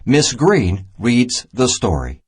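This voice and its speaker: A man reads a simple children's lesson aloud at a slow, deliberate pace, setting each short phrase apart. His mid-pitched voice has a husky edge and moves up and down in a lively way as he narrates. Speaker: narrator of a children's math storybook. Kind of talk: scripted read-aloud narration, simple and instructional.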